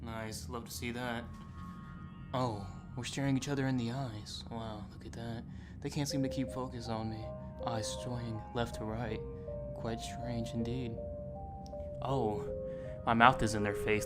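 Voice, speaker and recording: A man's voice: mid-range voice, fairly even intonation, measured pace. A man hosting a reaction video in a casual, slangy, exclamatory way, often repeating his phrases. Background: music.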